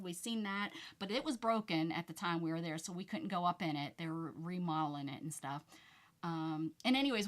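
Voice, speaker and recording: A woman's voice: medium pitch, a bit bright. A woman with a light Southern American accent, chatting casually with viewers and telling rambling stories while she works on a craft project. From United States. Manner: rambling, chatty storyteller reading comments aloud. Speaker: craft channel host.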